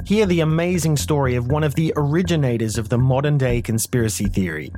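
A man with a medium-pitched voice reads a scripted documentary promo as its announcer. Light music plays underneath. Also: fast talker, dark tone. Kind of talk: scripted documentary trailer narration.

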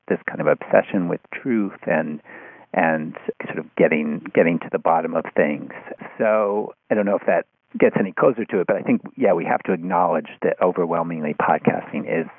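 A man being interviewed as a writer, talking thoughtfully and haltingly, repeating words as he works out his point. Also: sits low, vocal fry, breathy edge, dry recording. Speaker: interview guest, magazine writer. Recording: thin, phone-like line.

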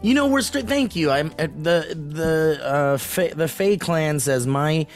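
Fairly high-pitched man who swings up and down constantly while he jokes through a livestream chat from home. He laughs a lot and keeps things loose. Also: animated, unhurried, faint music behind. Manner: joking, laughs often. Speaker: podcast co-host. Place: home in Los Angeles valley.

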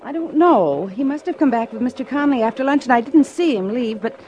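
An American actress playing an upset, loyal office secretary, tearful, in a 1950s radio drama. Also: female voice, sits high, animated, brisk, a little mellow, slight background noise, low-quality recording. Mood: anxious.

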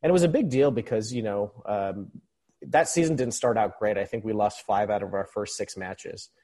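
Low-voiced man in his thirties, podcast guest. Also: normal speed, dark tone. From Philippines. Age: 30s. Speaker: podcast guest, football supporters' club member. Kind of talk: casual conversational sports commentary.

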